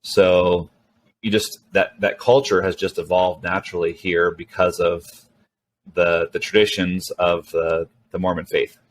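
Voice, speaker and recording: A man's voice: sits low, measured pace, a little hiss or hum. A middle-aged man, a startup co-founder and CEO whose first language is Spanish, talking as a guest in a casual interview with plenty of fillers and restarts. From Venezuela, raised mostly in California. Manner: relaxed, rambling with many fillers and restarts.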